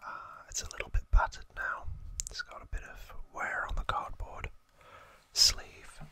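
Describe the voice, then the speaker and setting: A man in his thirties speaking in a breathy, hushed whisper as an ASMR host, gently and with pauses. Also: no room sound. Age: 30s. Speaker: host of an ASMR video channel. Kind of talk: ASMR trivia talk, whispered.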